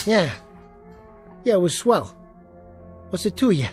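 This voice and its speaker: An actor playing a small, bitter, hot-tempered jockey in a radio drama, with a streetwise, combative, slangy way of talking. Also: male voice, high-pitched, very animated, unhurried, a little mellow, soft background music. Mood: groggy, irritable.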